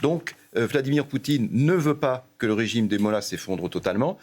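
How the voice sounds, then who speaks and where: A man's voice: mid-range voice, brisk, darkish tone. A man with a French accent from France giving explanatory political news analysis as a commentator, emphatic and addressing his listeners directly.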